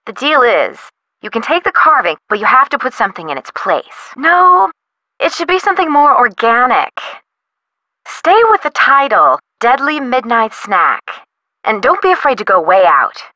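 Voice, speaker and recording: Flippant, swooping young bohemian artist. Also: female voice, a little high, very animated, moderate pace, bright, forceful tone, no room sound, rough recording. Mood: earnest, grandiose. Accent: American. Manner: breezy, theatrical, slangy. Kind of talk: video game character dialogue.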